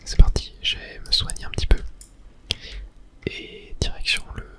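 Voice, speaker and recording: Whispered ASMR gaming commentary, hushed voice. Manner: hushed whispering, unhurried narration of own play. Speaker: gaming ASMR creator and host. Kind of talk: ASMR whispered video game let's play commentary.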